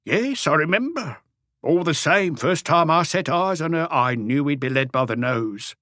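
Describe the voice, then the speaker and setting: A man's voice: fairly high pitch, animated, normal speed, pushed and bright, no echo, studio quality, pitched above the performer's own voice. A man voicing a wry, evasive old house painter with colloquial speech, in an audiobook story. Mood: knowing, skeptical. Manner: colloquial, evasive, answers questions with questions. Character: cagey old Parisian workman, stocky house painter, wry.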